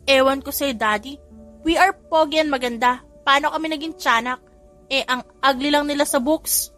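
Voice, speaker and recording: An excitable, sweetly polite little child from an audio romance, acted out by a woman narrator. The voice is high and cheeky, with music underneath. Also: moderate pace. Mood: sulky, cheeky.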